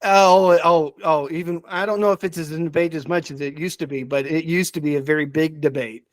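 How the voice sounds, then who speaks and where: A man's voice: on the high side, fairly even intonation, fairly quick, no room sound. A man chatting casually and enthusiastically as he presents picks on a podcast, often chuckling as he talks. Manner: enthusiastic, chuckles while talking. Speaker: podcast guest presenting a ranked list.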